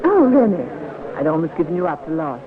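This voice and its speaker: A woman's voice: deep voice, big pitch swings, fairly quick, crowd noise. An actress playing a refined, flirtatious society lady in a classic radio drama, with a coy, mannered politeness. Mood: coy, intrigued. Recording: tinny, noisy old radio recording.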